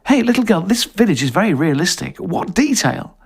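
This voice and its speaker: A man's voice: sits high, very animated, fairly quick, vocal fry, breathy edge, voice pushed up, exaggerated voice. A man voicing a chatty, cheeky lost woman walker in a story reading. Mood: friendly, probing.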